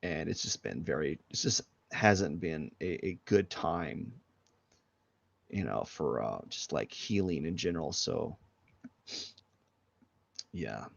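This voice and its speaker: A man's voice: very deep voice, lively intonation, slow, gravelly, long pauses, no echo. A man with a head cold, sniffling as he rambles loosely through a live drawing stream with many fillers. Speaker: artist streaming a drawing session. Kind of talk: casual live drawing commentary. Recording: dull lo-fi stream audio.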